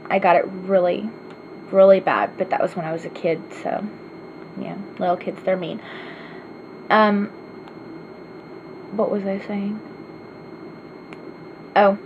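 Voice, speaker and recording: A woman's voice: mid-range voice, steady tone, measured pace, pauses often. Chatty woman with an Arkansas accent. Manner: rambling, self-deprecating, chatty. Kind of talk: casual vlog answering questions. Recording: slightly dull home recording with faint hiss.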